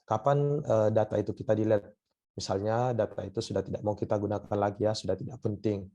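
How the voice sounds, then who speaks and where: Hoarse man lecturing with many pauses. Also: on the low side, fairly even intonation, dark tone, highs cut off. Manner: hesitant, many filler sounds. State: hoarse from a cough. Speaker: university lecturer. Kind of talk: online lecture.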